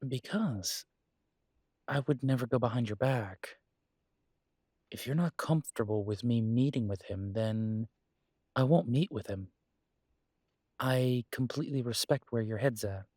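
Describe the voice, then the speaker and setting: Male actor as earnest werewolf lover, unhurried delivery, close dry sound, halting pauses, tender and protective, intimate audio drama. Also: mid-range voice, mellow, dry recording, natural voice. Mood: hesitant, reassuring. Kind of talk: intimate dramatic roleplay monologue to a partner.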